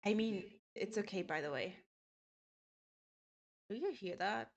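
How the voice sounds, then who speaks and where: A woman's voice: medium pitch, animated, unhurried, muffled recording. A woman in her twenties chatting casually with her stream viewers, laughing often and reading out their messages. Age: 20s. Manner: chatty, giggly, reads chat aloud.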